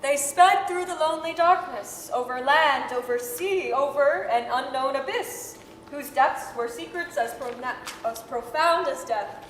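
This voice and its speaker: High-voiced woman narrator in an echoey hall. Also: a little slow, fairly bright tone. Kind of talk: scripted dramatic storytelling, 1930s radio style. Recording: echoey hall, faint noise.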